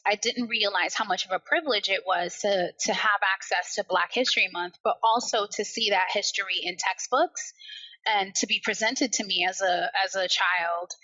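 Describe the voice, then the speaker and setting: A woman's voice: lowish voice, pushed and bright, dry recording, dull audio. A woman with an American accent talking passionately and chattily about personal reflections as a podcast guest. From Barbados and the US. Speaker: podcast guest, account manager.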